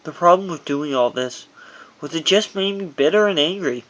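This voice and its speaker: Man with a higher-pitched voice reading memoir. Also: animated, normal speed, a bit bright, poor audio. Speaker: author reading own memoir. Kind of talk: audiobook narration of a first-person memoir.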